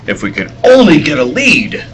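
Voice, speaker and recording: A man's voice: on the high side, huge pitch swings, moderate pace, fairly bright tone. A man playing a mild, earnest, hesitant young newspaper reporter in a 1940s radio serial read live. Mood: concerned. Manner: hesitant, polite. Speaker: table-read performer. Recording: noisy convention floor ambience. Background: convention hall noise.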